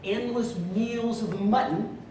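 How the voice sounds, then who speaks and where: A man giving a humorous storytelling speech to a live club audience, theatrical, with drawn-out punchlines. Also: extremely high pitch, fairly even intonation. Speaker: contest speaker telling a humorous tale. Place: meeting room before an audience. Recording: echoey room from far off, loud noise.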